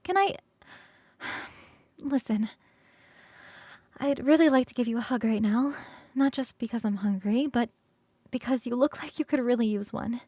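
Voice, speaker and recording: High-voiced, affectionate succubus girl, acted by a woman. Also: no echo. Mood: concerned, gentle. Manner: coaxing, halting, expressive. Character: affectionate, playful succubus roommate, young-sounding, eager to cuddle. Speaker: streamer performing scripted roleplay. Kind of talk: ASMR roleplay, spoken to the listener.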